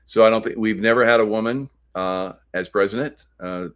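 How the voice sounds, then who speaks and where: An American man hosting an unscripted political commentary, speaking in a halting, rambling way. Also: fairly low pitch, fairly bright tone. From United States. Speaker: host of a political commentary show.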